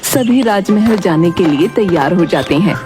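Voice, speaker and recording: Lively woman narrating a children's story. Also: fairly low pitch, normal speed, over music.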